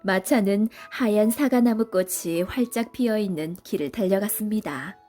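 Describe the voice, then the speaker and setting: A woman's voice: mid-range voice, normal speed, dark tone, faint music behind. A woman reading scripted narration for a children's story as a storybook narrator.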